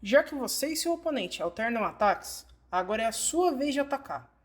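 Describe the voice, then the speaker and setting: A woman's voice: fairly high pitch, very animated, fairly quick. A woman with a Brazilian Portuguese accent reading scripted instructional narration, explaining directly to the listener as in a fan-dubbed tutorial.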